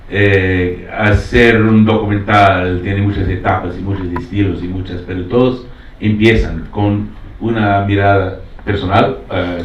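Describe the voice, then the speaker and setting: A man's voice: low voice, darkish tone. A man who is not a native speaker, talking reflectively on a panel as a documentary filmmaker, hesitantly searching for words. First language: not Spanish. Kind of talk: reflective panel talk with personal account. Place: roomy panel venue. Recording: echoey, distant mic, dull, faintly noisy.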